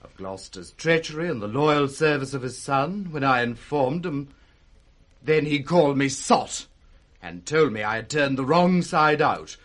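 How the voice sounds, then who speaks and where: An actor playing an officious, gossiping steward with a British accent, in an animated 1960s radio Shakespeare style. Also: male voice, mid-range voice, a little slow. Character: officious, gossiping steward reporting to his mistress.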